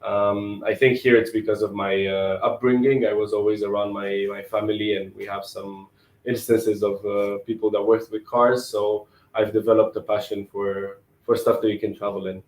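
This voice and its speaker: A man in his twenties from Romania, answering interview questions in a relaxed, thoughtful way and often repeating words as he thinks. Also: on the low side, normal speed, reverberant, low-quality recording. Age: 20s.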